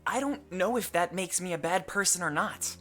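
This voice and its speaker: A woman voicing an earnest, protective and level-headed companion in a fantasy visual novel, speaking with sincere, measured reasoning. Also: on the low side, bright, forceful tone, faint music behind. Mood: serious, searching.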